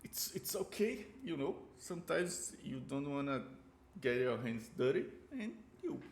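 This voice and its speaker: A Brazilian man with a Brazilian Portuguese accent, a native Portuguese speaker who talks in casual reaction commentary, halting and repeating words as he searches for English. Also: mid-range voice, big pitch swings, slight background noise. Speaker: reaction video host.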